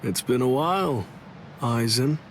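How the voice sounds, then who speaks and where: A cocky rebel swordsman with a mid-pitched, creaky voice. He is laid-back, blunt and wry, like a casual leader in an anime game cutscene. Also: animated, unhurried, slight background noise. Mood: cool challenge. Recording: dialogue over clear background music.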